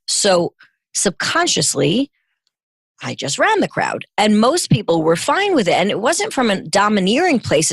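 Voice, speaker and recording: A middle-aged woman from New York with a New York accent and a raspy voice, hoarse from illness, talking emphatically and expansively as a podcast guest. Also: fairly low pitch, no room sound. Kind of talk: casual interview chat.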